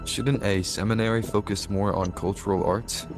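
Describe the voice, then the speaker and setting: A calm young male adventurer from a video game voiced by an actor, understated and inquisitive. His voice is very deep, and he speaks tactfully over clearly audible music. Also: normal speed, close to an everyday voice. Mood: calm, curious. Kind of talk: scripted video game dialogue.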